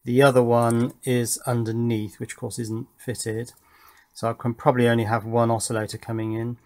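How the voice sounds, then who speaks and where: Male, 50s, hobbyist electronics presenter. Mid-pitched, casual and matter-of-fact, thinking out loud while working. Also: flat delivery, normal speed. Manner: matter-of-fact, musing aloud. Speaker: electronics hobbyist and video host. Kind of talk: casual bench commentary while assembling a circuit board. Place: indoors at a home workbench.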